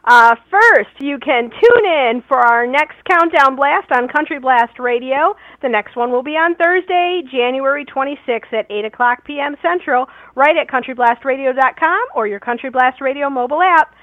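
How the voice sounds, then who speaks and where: A woman co-host runs through station announcements in a high, upbeat, brisk voice. The recording sounds dull and lo-fi and is very dry. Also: dry recording. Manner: upbeat, brisk promotional delivery. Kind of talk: reading station announcements on air.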